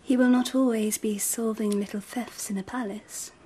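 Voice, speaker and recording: An actress playing a gracious, teasing and wistful Empress in a radio drama, speaking softly and often close to a whisper, in a gentle, measured way. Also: female voice, a little high, steady tone, slight background noise, close to an everyday voice. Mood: teasing, probing. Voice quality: soft, breathy, often near whisper. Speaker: actor.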